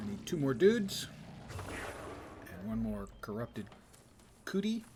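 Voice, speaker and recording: A man's voice: mid-range voice, very animated, takes it slowly. A man doing casual live gameplay commentary as a streamer, chatting wryly to himself and his viewers. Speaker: game livestreamer. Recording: noisy, game sound behind. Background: video game ambience.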